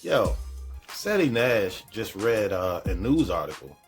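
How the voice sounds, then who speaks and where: A man's voice: on the low side, big pitch swings, unhurried, faint music behind. A man hosting a reaction show, giving casual, slangy commentary and repeating phrases for emphasis.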